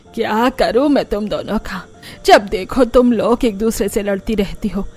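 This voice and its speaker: A woman's voice: mid-range voice, normal speed, a little mellow, lightly acted. A woman voicing a fed-up, bossy mother-in-law who scolds her household in a cartoon story. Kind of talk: Hindi cartoon story dialogue. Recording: music under the voice.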